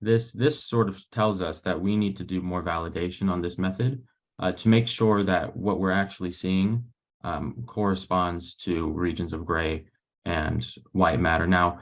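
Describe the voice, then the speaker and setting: Young male researcher, deep voice with vocal fry, halting delivery, academic video-call presentation. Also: fairly even intonation. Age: young adult. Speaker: graduate researcher presenting and answering questions. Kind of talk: academic webinar talk and Q&A. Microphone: video call microphone.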